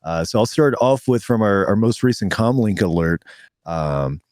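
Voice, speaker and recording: A man co-hosting a podcast in a laid-back casual chat, a little hoarse, reading listener comments aloud. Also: fairly low pitch, lively intonation, moderate pace, darkish tone, no room sound, studio quality. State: slightly hoarse. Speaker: podcast co-host.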